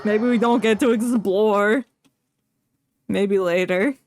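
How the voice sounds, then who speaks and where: A woman's voice: mid-range voice, takes it slowly, darkish tone. A woman streamer giving casual, playful live commentary on a video game. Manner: informal, playful reactions. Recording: game music behind.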